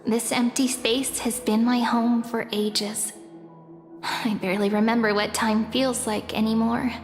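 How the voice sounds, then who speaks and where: A woman voicing a gentle spirit in a video game, speaking slowly and softly, with earnest warnings and a lonely undertone. Her slow lines hang in a long reverb over music. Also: a little high, little pitch movement, close to an everyday voice. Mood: wistful. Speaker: game character.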